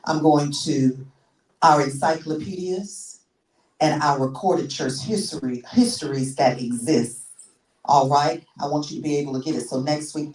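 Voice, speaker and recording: A woman's voice: deep voice, warm tone. A woman in her sixties preaching a sermon as pastor, teaching with frequent restarts and repeated words. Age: 60s. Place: church sanctuary. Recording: echoey church room, mic far off.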